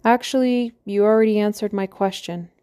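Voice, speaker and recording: A woman's voice: mid-range voice, steady tone, moderate pace, dark tone, clean tone, no echo, close to an everyday voice. A woman voicing a weary middle-aged man who jokes dryly on the phone. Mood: wry. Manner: dry, joking, evasive.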